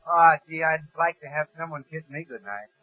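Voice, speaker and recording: A man's voice: a little high, a little fast. A man working as a 1940s radio announcer and polite straight man in comic banter. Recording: tinny, band-limited 1940s radio broadcast.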